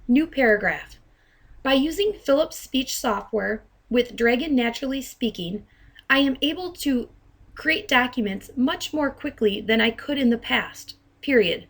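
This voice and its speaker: Higher-pitched woman giving a software demo. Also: expressive, a little slow. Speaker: software trainer giving a demonstration. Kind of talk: instructional screen demo narration with dictation. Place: voice-over to a screen recording.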